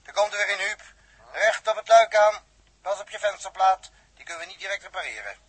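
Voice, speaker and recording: A man's voice: sits high, fairly quick, fairly bright tone, a little hiss or hum, low-quality recording. A male actor playing a distrustful spaceship crewman in a Dutch radio drama of the 1960s, blunt and grudging, with a Netherlands accent. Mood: wary.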